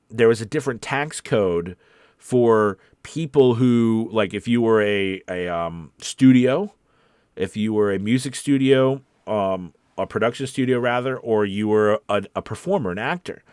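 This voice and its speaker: A man's voice: mid-range voice, dry recording, studio quality. An American man hosting a casual live stream as a coach, chatting to viewers in an emphatic way and repeating his key points. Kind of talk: casual livestream chat reading out viewer comments.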